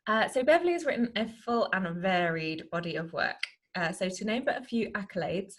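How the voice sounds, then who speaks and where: A woman hosting an interview show, speaking in an effusive, upbeat and chatty way. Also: mid-range voice. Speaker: show host.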